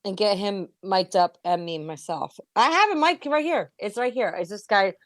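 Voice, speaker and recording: A woman's voice: mid-range voice, expressive, normal speed, no echo. Blunt woman in dry, casual conversation. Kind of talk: casual chat. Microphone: built-in laptop mic.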